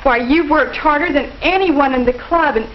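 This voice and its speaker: A woman's voice: sits high, moderate pace, natural voice. Young woman playing an earnest loyal schoolgirl. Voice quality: earnest, reassuring manner. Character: loyal, earnest teenage girl, best friend. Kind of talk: 1950s educational film acting. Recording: muffled old film soundtrack with hiss.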